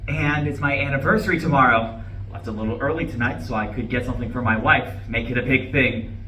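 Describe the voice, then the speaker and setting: A man acts out an earnest office-worker husband in a stage play, eagerly explaining himself and faltering as he goes. His voice is bright and forward. It is picked up from a distance in an echoey room with faint noise. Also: medium pitch, fairly even intonation, quick pace, lightly acted. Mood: hopeful, chatty. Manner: hesitant, eager, over-explaining. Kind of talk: live stage play reading.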